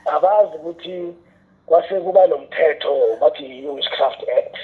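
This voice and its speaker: A man's voice: fairly high pitch, moderate pace. A man with a South African accent explaining things in an interview, posing rhetorical questions and dropping in English phrases, through a narrow phone-like recording. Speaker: radio drama scriptwriter and teacher, interview guest. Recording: tinny, narrow phone-like sound.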